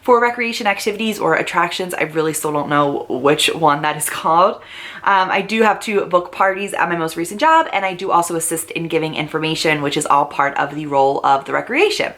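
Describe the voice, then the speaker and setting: A woman's voice: sits low, a little fast, fairly bright tone. Upbeat young woman explaining her notes. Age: young adult. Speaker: vlogger. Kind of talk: talking to camera, explaining prepared notes.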